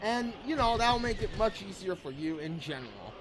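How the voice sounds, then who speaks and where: A man's voice: high-pitched, very animated, moderate pace, background noise. A man giving a casual, rambling game review, often circling back to repeat his points. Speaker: gaming video creator. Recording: music behind the voice. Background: background music.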